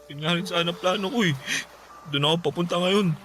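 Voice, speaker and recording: An actor playing a young man's steady, reassuring friend in a radio drama. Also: sits high, fairly quick, lightly acted. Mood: somber. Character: Neil, calm consoling young male friend. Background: music.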